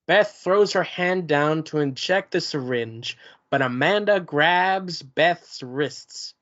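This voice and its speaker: A man reading the stage directions aloud at a play's table read, plain and steady. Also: on the high side, slow pace. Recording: muffled lo-fi recording.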